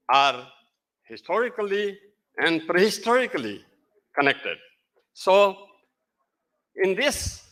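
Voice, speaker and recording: A man with a Nepali accent delivers a formal address in English, his first language being Nepali. He talks slowly, in short halting phrases, pushing hard on key words. His voice is bright and lively in pitch. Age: older. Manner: slow, halting, emphatic. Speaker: guest speaker. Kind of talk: formal ceremony speech.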